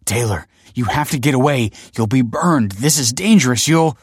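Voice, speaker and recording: A man's voice: medium pitch, lively intonation, moderate pace, husky, no room sound, studio quality, pitched above the performer's own voice. A man voicing a desperate, bitter male rebel in an audiobook story, impassioned and pleading.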